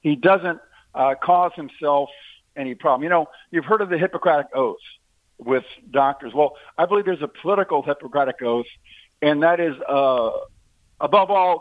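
A man's voice: fairly high pitch, moderate pace, dry recording. Man talking over a tinny phone line. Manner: talkative, uses sports analogies. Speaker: guest, political show host. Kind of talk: phone-in political discussion.

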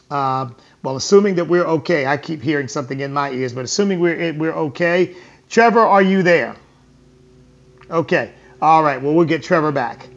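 A man's voice: fairly high pitch, highs cut off. A man hosting a political talk show, emphatic and repetitive, addressing listeners as folks. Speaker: radio talk show host. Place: hotel or venue on tour.

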